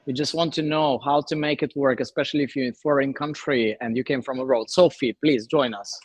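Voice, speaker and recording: A man's voice: mid-range voice, fairly even intonation, brisk, dry recording. A man hosting a startup workshop, calling on audience members and encouraging them to speak. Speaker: workshop host.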